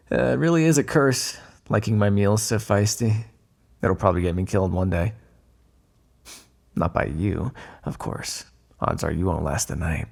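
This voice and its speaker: A man's voice: low-pitched, creaky, darkish tone, slightly rough, full character voice. A man playing a smug, teasing vampire in an ASMR roleplay, sardonic and predatory with a hidden fondness, drawling on key words. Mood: fond, playful. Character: smug, teasing male vampire, predatory yet secretly fond. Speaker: roleplay voice actor.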